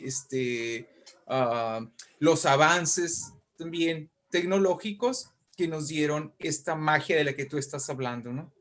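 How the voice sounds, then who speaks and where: A middle-aged man with a northern Mexican accent speaks as a guest in an interview. His voice sits a little high for a man. He tells things in an animated, discursive way and often checks that the listener follows. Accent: northern Mexican Spanish. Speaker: guest writer and poet. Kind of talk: conversational interview storytelling.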